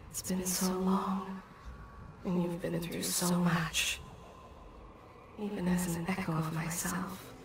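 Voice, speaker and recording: Gentle maternal spirit for a game: unhurried, even delivery, distant and reverberant. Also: female voice, on the low side. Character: gentle ghostly dead mother, a fading spirit. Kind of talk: video game voice acting. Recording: echoing, distant, with faint noise.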